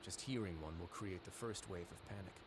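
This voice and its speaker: An actor playing a calm, wry duke in a video game, speaking in a measured, composed way. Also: male voice, low-pitched, background noise, natural voice. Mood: grave, composed. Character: calm, wry male duke ruling an underwater prison fortress. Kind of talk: scripted video game dialogue and storytelling.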